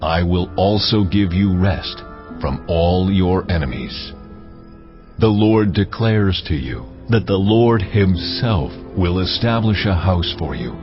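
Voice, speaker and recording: An actor playing God as a solemn, authoritative Lord giving measured decrees in dramatized scripture, over music. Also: male voice, low-pitched. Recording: muffled, band-limited audio.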